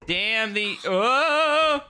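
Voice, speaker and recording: A man's voice: high-pitched, animated, extremely slow, sharp tone, clean tone. A young man casually commentating a horror game, joking and swearing as he plays. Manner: joking, swearing, talking to himself. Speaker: gaming streamer. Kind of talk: casual let's-play commentary.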